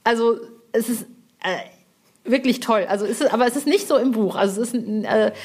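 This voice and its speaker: A woman's voice: a little high, brisk, a little mellow. A woman hosting a podcast interview in casual, effusive fan chat, with colloquial slang and the odd swearword.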